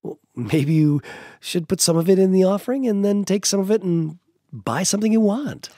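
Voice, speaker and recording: A man's voice: high voice, animated, normal speed, warm tone, no room sound, studio quality. An American actor playing a cheerful, devout middle-aged father in a family radio drama. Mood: cheerful, encouraging.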